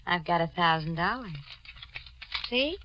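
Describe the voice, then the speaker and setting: Seductive noir killer, lowish voice, bright edge, coaxing, insinuating, 1940s radio drama. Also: female voice, expressive, a little slow, slight background noise, rough recording. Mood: cool, secretive. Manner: coaxing, insinuating, coolly matter-of-fact. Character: cool, seductive, murderous noir femme fatale. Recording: old 1940s radio recording.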